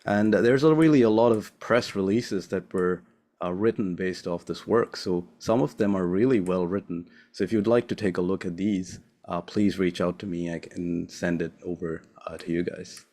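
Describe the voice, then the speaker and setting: Deep-voiced young man giving a seminar talk. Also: moderate pace, darkish tone. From Sri Lanka. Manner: friendly, casual, many filler "uh"s. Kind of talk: academic seminar presentation.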